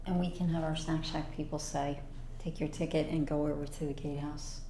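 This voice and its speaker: Low flat-voiced woman at a meeting. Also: brisk. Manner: direct, practical requests. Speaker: committee member. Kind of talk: public meeting discussion. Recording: echoey meeting room, faint noise.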